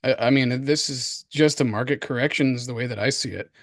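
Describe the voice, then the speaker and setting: Hoarse man, dry close sound, casual co-host commentary, slangy, repeats himself, excitable. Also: mid-range voice, a little fast, no echo. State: hoarse from coughing. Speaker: crypto show co-host. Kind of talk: casual chat, market commentary.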